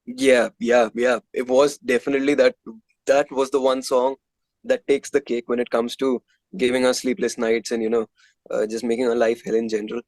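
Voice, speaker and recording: A man's voice: medium pitch, flat delivery, normal speed, a little mellow, no echo, low-quality recording. A man with an Indian accent chatting candidly as a musician being interviewed, emphatically repeating phrases for stress. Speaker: metal band guitarist and composer, interview guest.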